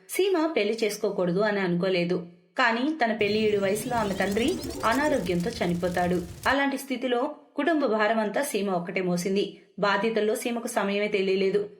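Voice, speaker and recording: A woman storyteller reading scripted narration for a moral story. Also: medium pitch.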